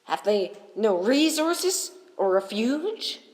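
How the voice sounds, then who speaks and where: A woman's voice: fairly high pitch, very animated, slow, darkish tone. A woman playing an anxious, reluctant miser in a storybook drama, with a dramatic, pleading manner. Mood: distressed. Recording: long hall reverb.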